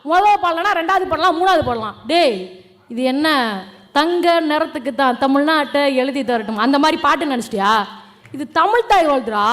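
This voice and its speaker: A young woman with a Tamil Nadu accent giving a fiery, colloquial political stage speech, challenging and combative, throwing out rhetorical questions. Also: sits high. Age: young adult. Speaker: political speaker.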